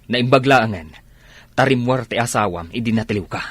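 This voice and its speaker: A man playing a humble, hardworking elder brother who works as a janitor, in a Filipino radio drama, husky and with a Filipino accent. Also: mid-range voice, moderate pace, fairly bright tone, no echo. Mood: reproachful.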